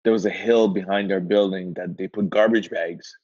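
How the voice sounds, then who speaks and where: A man from Iran, a guest in a casual interview, telling his story in a relaxed, rambling way with lots of filler words. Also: low voice, moderate pace. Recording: dull lo-fi sound.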